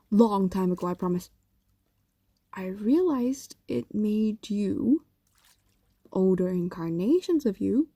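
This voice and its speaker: Casting: woman to play ancient yandere fox demoness, soft mellow voice, coaxing and doting yet predatory, intimate audio drama, very dry close sound. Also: a little high, creaky, no room sound, heavily acted. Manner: coaxing, hesitant explanations with whispers and sighs. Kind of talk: intimate audio roleplay acting, addressed to a lover.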